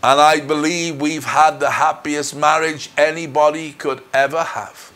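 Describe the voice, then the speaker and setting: A middle-aged man giving a personal testimony as a minister, speaking in an earnest, deliberate, measured way. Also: on the high side, fairly even intonation, fairly bright tone. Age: middle-aged or older. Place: indoors in front of a dark curtain. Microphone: lapel mic.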